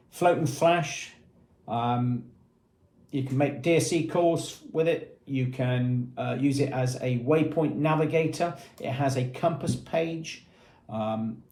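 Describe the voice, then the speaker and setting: A man's voice: mid-range voice, darkish tone, roomy sound. A man presenting a product demonstration in a methodical, matter-of-fact, explanatory way.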